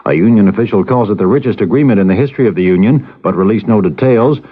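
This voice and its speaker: A man reading scripted news briskly as a radio anchor. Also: fairly low pitch, breathy edge. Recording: phone-like narrow-band radio recording.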